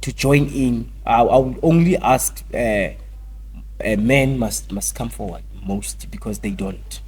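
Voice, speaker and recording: A man's voice: medium pitch, unhurried, a little mellow, husky. A man with a South African accent talking casually as an interview guest, repeating phrases and mixing in a local language. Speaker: fitness trainer, interview guest. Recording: noticeable background noise and ambience.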